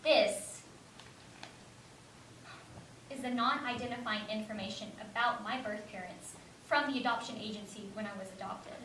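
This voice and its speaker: A distant, echoing recording with clear noise carries an actress as an earnest young woman on stage. Her voice sits a little high, and she speaks as a stubborn adoptee looking for answers. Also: moderate pace, pauses often, fairly bright tone, close to an everyday voice. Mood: subdued, explaining. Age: young adult. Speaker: stage actor. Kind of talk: one-act stage play, dialogue and monologue.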